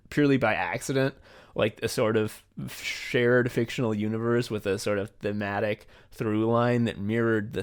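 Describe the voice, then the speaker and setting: Male podcast host, enthusiastic rambler, loose conversational delivery, casual swearing. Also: medium pitch. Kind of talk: casual behind-the-scenes chat.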